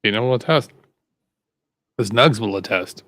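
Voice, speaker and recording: A man's voice: lowish voice, lively intonation, normal speed, fairly bright tone, no room sound. A man hosting a casual podcast, laid-back and rambling as he introduces stories. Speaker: host.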